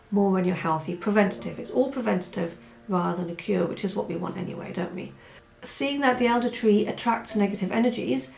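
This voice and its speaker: A woman who is hoarse from a cold, vlogging in a chatty, rambling way with many asides. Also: medium pitch, darkish tone, slight background noise. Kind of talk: casual chat. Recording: roomy echo with faint music.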